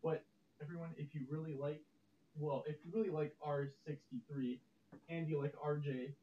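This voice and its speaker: A man hosting an online interview, asking relaxed, plain questions. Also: fairly high pitch, normal speed. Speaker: interview host. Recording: echoey, dull, with faint hiss.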